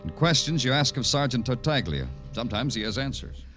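An actor playing a world-weary American police detective in a 1950s radio crime drama. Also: male voice, medium pitch, big pitch swings, brisk, a bit bright. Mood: brooding. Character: world-weary, hard-boiled male New York police detective. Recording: vintage radio broadcast, music behind.

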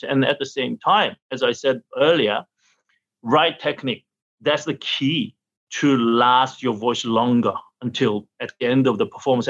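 Middle aged guy, english, halting, laughs a lot, lo-fi sound. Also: medium pitch, fairly bright tone. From South Korea. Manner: halting, searching for words in English, laughs often. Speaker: opera singer and vocal teacher. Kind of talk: interview answers.

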